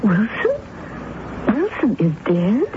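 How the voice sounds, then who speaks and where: Flustered, dramatic actress, swooping voice. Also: female voice, fairly high pitch, wildly swooping pitch, full character voice. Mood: shocked, flustered. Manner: flustered, halting, theatrical. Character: shaken, dramatic actress accused of murder. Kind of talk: radio drama acting. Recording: muffled, noisy old radio broadcast.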